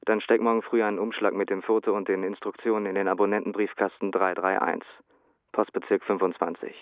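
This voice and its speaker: An actor playing a curt, businesslike hired killer giving instructions in a radio drama. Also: male voice, lowish voice, flat delivery, brisk, no echo, phone-quality sound, lightly acted. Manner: curt, matter-of-fact.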